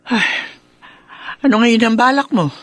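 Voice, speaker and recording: An actress playing a concerned, supportive middle-aged aunt in a Filipino radio drama, sympathetic and inquisitive, with a Filipino accent. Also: female voice, a little high, a little slow, no room sound, natural voice.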